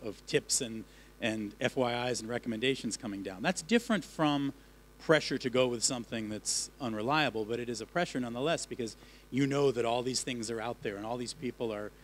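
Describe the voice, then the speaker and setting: A man's voice: mid-range voice, a little hiss or hum. A man speaking on a panel about newsroom work, earnest and restarting often, into a handheld mic in a lecture hall. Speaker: panelist, news editor. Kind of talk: panel discussion.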